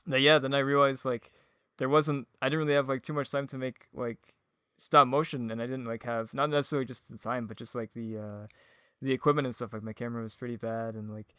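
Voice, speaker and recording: A man's voice: mid-range voice, little pitch movement, bright, forceful tone, clean tone, no echo. Rambling man chatting casually, dry close sound. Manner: rambling, halting, self-effacing. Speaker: podcast guest, audio drama creator. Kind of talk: casual chat.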